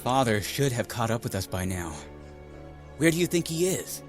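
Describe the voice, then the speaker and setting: A man's voice: medium pitch, big pitch swings, normal speed, soft background music, natural voice. A man voicing a serious young ninja turtle leader who speaks earnestly and with command.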